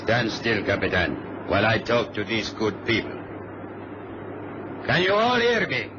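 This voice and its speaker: A man's voice: lowish voice, huge pitch swings, a little slow. A man playing a polite but menacing hijacker in a radio drama. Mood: polite menace. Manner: courteous, coolly threatening. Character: polite, menacing Latin male hijacker with a gun. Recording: noisy, dull vintage radio recording.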